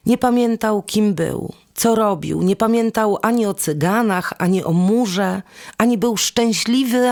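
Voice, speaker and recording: A woman's voice: mid-range voice, creaky, darkish tone. A woman narrating a fairy tale expressively, with lyrical, rhythmic phrasing. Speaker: storyteller. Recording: music behind the voice. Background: instrumental music.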